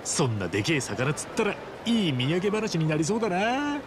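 Chummy, rough-talking guy, lively pitch. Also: normal speed, noisy background. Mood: easygoing, upbeat. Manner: casual, rough street-style slang, chummy. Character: friendly, rough-spoken, big-hearted grown man adventurer. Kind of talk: Japanese video game cutscene acting.

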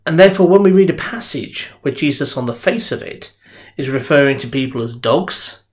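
A man's voice: fairly high pitch, animated, moderate pace. A middle-aged man preaching a sermon from a small home study, recorded on a desk webcam. His delivery is conversational and earnest, like telling a story to friends. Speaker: minister. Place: home study with bookshelves, indoors.

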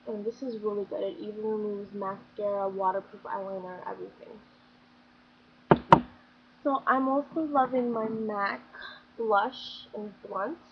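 A woman's voice: medium pitch, steady tone, unhurried. A young woman talks through her favorite products in a chatty, gushing way, her voice a bit scratchy as she comes down with something. She sits in a small bedroom speaking into a built-in webcam mic. The sound is dull and lo-fi, with a faint hiss of room noise behind her. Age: young adult. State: slightly scratchy, getting sick. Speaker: beauty vlogger. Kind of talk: casual product review chat.

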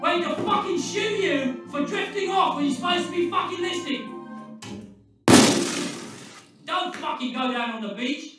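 An actor playing a manic, foul-mouthed British criminal with an erratic, volatile way of talking in a video game. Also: male voice, very high-pitched, brisk. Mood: furious. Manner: sweary, erratic, swinging from soothing to ranting. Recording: echoey, distant, music behind.

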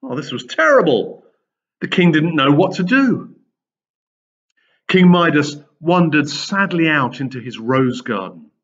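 Male storyteller, 50s, very animated pitch, unhurried delivery, reading for children with dramatic emphasis. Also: husky, dull audio. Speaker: storyteller reading a Greek myth for pupils. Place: large indoor room with high wooden ceiling.

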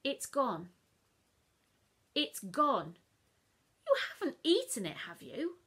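A woman's voice: sits high, wildly swooping pitch, takes it slowly, a bit bright, pitched above the performer's own voice, full character voice. A woman voicing a stern mother who questions her son sharply and suspiciously. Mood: accusing.

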